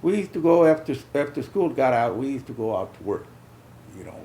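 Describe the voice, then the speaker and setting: An older man from South Texas recalling his youth in a halting way, often repeating words. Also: medium pitch, big pitch swings, dark tone. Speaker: interviewee, veteran. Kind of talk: casual recollection.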